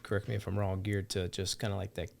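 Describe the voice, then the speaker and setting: A man in his thirties guesting on a podcast, blunt and profane, preaching about accountability into a studio boom mic. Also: level tone, quick pace, gravelly. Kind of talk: casual podcast conversation. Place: podcast studio, grey wall. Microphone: studio mic on boom arm with headphones.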